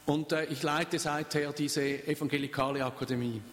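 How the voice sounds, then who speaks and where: An older man from Switzerland, preaching in short phrases and pausing for an interpreter. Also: fairly high pitch, fairly even intonation, fairly bright tone, big-room sound. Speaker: pastor. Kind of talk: sermon with consecutive interpreter.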